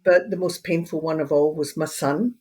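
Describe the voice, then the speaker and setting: An older woman from Edinburgh, Scotland, whose Scottish accent has taken on an Australian edge, speaking calmly and with pauses as an interview guest. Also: sits low. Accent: Scottish, Edinburgh, mixed with Australian. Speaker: guest, hypnotherapist and end-of-life doula. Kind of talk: casual interview conversation.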